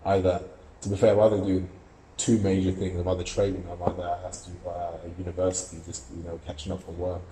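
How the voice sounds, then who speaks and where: Young guy from russia, flat steady delivery, lots of ums, roomy echo with faint background hum. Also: deep voice, fast talker. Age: young adult. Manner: earnest, reflective, many fillers and restarts. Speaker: amateur boxer and university student. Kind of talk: interview answers.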